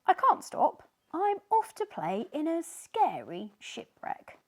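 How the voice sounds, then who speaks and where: A woman voicing dismissive storybook fish, brisk and evasive, full of excuses. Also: high voice, huge pitch swings, pitched above the performer's own voice, exaggerated voice. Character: dismissive sea fish making excuses to avoid company.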